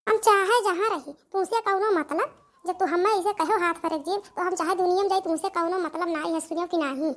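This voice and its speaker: A woman's voice: very high-pitched, fairly quick. A woman voicing a feisty, easily offended village wife in a cartoon comedy, with an Awadhi accent and a rustic scolding manner. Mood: defiant.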